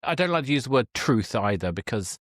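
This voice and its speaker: A man's voice: medium pitch, very animated, fairly quick, a bit bright, dry recording, studio quality. A man hosting a conversational interview, thinking aloud in a reflective, hesitant way and often qualifying his own words. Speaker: podcast host.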